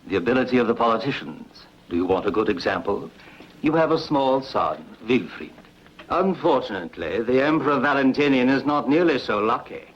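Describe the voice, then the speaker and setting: Casting: male actor as a plotting Roman senator, raspy but measured persuader, slightly dull-sounding epic dub. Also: mid-range voice, lively intonation. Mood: persuasive. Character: smooth, scheming Roman politician. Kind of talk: dubbed sword-and-sandal film dialogue. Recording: muffled lo-fi dub.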